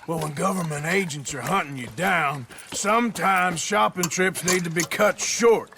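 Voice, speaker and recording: An actor playing a gruff, sardonic American outlaw gunman in a video game cutscene, with a dry, sarcastic delivery. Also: sits high, moderate pace. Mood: dry scorn. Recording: clear background noise of outdoor ambience.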